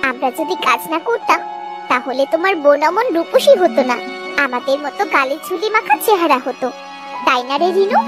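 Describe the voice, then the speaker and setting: A scornful, grumbling sister-in-law from a village cartoon, played by a woman. Her high voice is very animated and melodramatic. Prominent music plays beneath it. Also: brisk. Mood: mocking.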